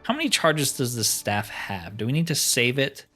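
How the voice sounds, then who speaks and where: Man, mid-pitched, casual let's play host who thinks aloud and questions himself, music underneath. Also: big pitch swings, normal speed, sharp tone. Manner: musing, talks to himself in questions. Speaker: host of a gaming roleplay series. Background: music behind the voice.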